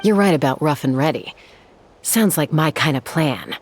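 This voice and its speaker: A woman's voice: low-pitched, animated, moderate pace, slight background noise. An actress playing a self-assured young gunslinger woman in a fantasy video game, with a cool, composed, dry delivery. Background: game music.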